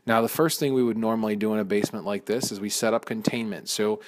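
A man, a mold removal contractor walking through a job and explaining the work step by step, with frequent pauses and restarts. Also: on the low side, steady tone. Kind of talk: informal walkthrough narration.

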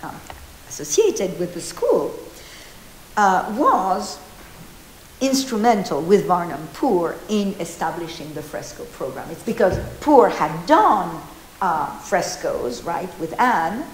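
A woman in her fifties from Argentina gives an informal art history talk, animated and gesturing, with many restarts and fillers, recorded by a distant camera in an old meeting house. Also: lowish voice, slow pace, slight background noise. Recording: roomy hall echo.

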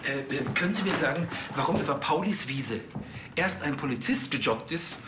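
A man acts out a short-fused police boss in a TV comedy. His high voice swings widely as he stammers with sarcasm. The room echoes around him. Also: animated, moderate pace, heavy background noise, lightly acted. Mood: exasperated, sarcastic. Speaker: police chief in a TV crime comedy. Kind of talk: TV comedy acting.

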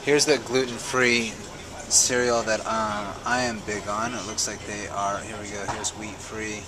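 A man's voice: on the low side, level tone, a little slow, crowd noise. A man on a grocery tour, joking and teasing in casual banter, picked up by a handheld camera mic in a store. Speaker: athlete co-host on the tour. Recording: noisy store background.

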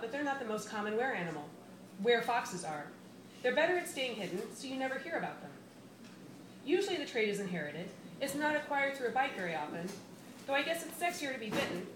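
A woman's voice: on the high side, close to an everyday voice. A woman reading a short story live as a blunt, defensive female teacher giving a police statement, conversational, wry and impatient. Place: small bar reading room, indoors. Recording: roomy, noisy bar on event microphone.

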